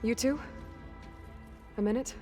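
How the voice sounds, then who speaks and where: A woman's voice: on the high side, a little slow, warm tone, in a large hall, soft background music, natural voice. A woman playing a determined young warrior leader in a game cutscene, earnest and commanding. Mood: serious, urgent. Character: determined young female warrior leading a rescue mission. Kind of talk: video game cutscene acting.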